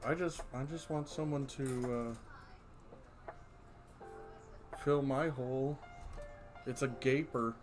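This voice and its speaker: A man's voice: medium pitch, fairly even intonation, takes it slowly, long pauses, music in the background. A man bantering crudely with co-hosts while reading out chat messages. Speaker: streamer. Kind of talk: casual livestream chat.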